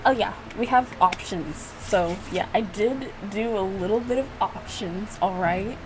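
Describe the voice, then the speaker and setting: A woman's voice: mid-range voice, a little slow, background noise. A young adult woman giving casual, self-mocking reaction commentary, often repeating her phrases as she goes. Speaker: gaming reaction video creator. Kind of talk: casual reaction commentary with lines read aloud.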